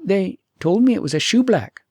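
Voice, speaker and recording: Man putting on a haughty, higher-pitched young princess. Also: sing-song, normal speed, a little mellow, husky, dry recording, clean studio sound, heavily acted. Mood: haughty, dismissive.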